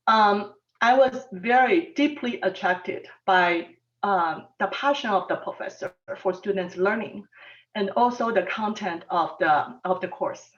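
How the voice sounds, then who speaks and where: Middle-aged woman from Taiwan, soft-spoken and hesitant, mid pitch, heard from afar on a lo-fi call. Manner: soft-spoken, frequent ums, halting. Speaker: mathematics professor, panelist. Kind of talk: personal talk at an online panel. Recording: distant, dull lo-fi sound.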